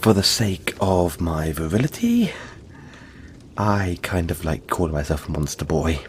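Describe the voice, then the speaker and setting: A big furry yeti played by a man talks in a deep voice over faint background noise. He is soft-hearted and apologetic, soothing the listener with halting, playful chat in an intimate audio roleplay. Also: very animated. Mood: gentle, modest. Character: big cuddly male yeti monster, friendly, apologetic, gentle.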